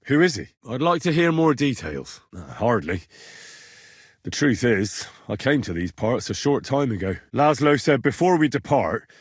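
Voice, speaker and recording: A courteous young medieval man-at-arms for a video game. His mid-range voice is husky and moves expressively up and down. He speaks plainly and earnestly, deferring to his betters, in a very dry recording. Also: fairly low pitch, no echo. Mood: polite, questioning. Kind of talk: video game character dialogue.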